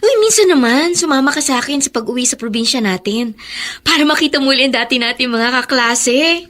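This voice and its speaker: A caring young best friend in a radio drama, bubbly and exclamatory. Her voice is very high and lilts up and down with lively swings. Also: fairly quick. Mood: coaxing. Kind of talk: Filipino radio drama acting.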